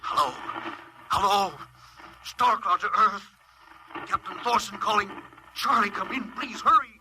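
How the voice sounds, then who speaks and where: An actor playing a desperate spaceship captain in a 1950s radio drama, urgent and emotional. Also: male voice, high voice, measured pace, noisy background. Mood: desperate urgency. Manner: urgent, emotional, shouting orders.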